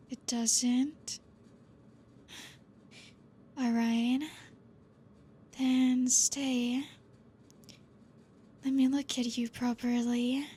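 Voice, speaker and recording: Woman doing a possessive vampire teacher, ASMR, soft and breathy, very slow and even, gently teasing. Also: fairly high pitch. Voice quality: soft, breathy, half-whispered. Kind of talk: ASMR roleplay.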